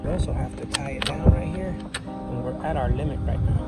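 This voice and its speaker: A man's voice: medium pitch, brisk, loud music behind the voice. A Cuban American man from New York with a Spanish-tinged accent, joking around casually while working outdoors on a van roof. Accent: Cuban American Spanish-tinged English. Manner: joking, playful. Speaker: van-life vlogger.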